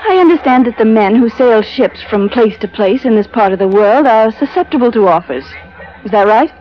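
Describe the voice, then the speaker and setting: Actress as a cool scheming wife, muffled radio. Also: female voice, on the high side, lively intonation, fairly quick, lightly acted. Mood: sly, coaxing. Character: cool, scheming wife bargaining with a sea captain. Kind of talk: old-time radio drama acting. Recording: muffled vintage radio broadcast.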